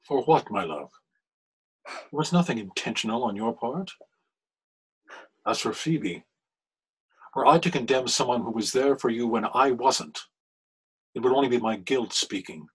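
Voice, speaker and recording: Actor as husky, solemn older husband. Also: male voice, medium pitch, lively intonation, poor audio. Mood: gentle, forgiving. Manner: solemn, measured, gentle. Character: forgiving, devout older husband, gentle, solemn. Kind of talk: period radio drama acting. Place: room at home, video call.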